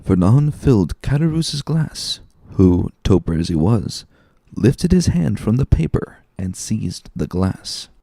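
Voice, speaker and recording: Casting: man for dramatic audiobook narration, relaxed dark tone with a lively, varied delivery. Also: on the low side, slow pace, gravelly, no echo, clean studio sound.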